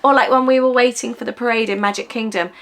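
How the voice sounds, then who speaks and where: A woman, chatting casually as a travel vlogger and telling stories in an animated way. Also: fairly high pitch, fast talker, gravelly. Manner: animated storytelling, finishes her partner's sentences. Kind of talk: casual chat.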